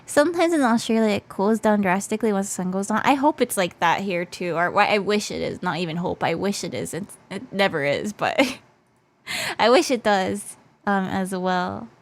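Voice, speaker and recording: A woman's voice: medium pitch, normal speed. Casting: female livestreamer, casual and playful, hesitant and repetitive, stuffy nose, voice slightly worn out. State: slightly losing her voice. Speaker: VTuber livestreamer. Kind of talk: casual livestream chat in English mixed with Japanese.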